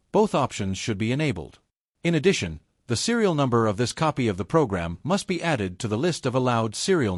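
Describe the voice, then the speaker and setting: A man reading a scripted technical tutorial step by step in a measured, methodical way. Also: medium pitch, no echo, studio quality. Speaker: tutorial presenter.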